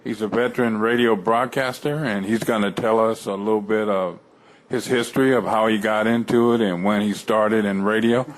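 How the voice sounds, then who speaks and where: A man's voice: lowish voice, fairly even intonation, rough recording. Man hosting a friendly interview podcast. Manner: encouraging, quick affirmations and laughs. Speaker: podcast host.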